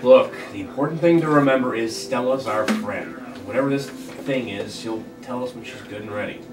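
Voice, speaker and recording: A man's voice: mid-range voice, moderate pace, over loud music, close to an everyday voice. Man playing a protective friend, very echoey room. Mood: earnest, reassuring. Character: protective, level-headed male friend urging patience. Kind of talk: table read of a comedy script. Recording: echoey room, distant mic, loud background.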